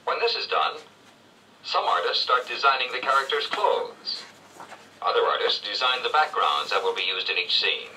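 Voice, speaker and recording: A man reading scripted 1970s educational narration with measured, clear storytelling phrasing. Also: mid-range voice, animated, sharp tone, far from the mic, rough recording. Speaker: read-along narrator. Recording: old read-along played back from afar, faint noise.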